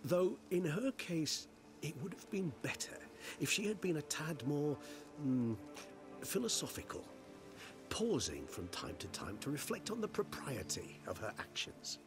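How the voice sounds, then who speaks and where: A man's voice: fairly high pitch, sing-song, measured pace, over music. An actor playing an urbane, philosophical immortal in a fantasy game, wry and erudite in his phrasing. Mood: detached, wry.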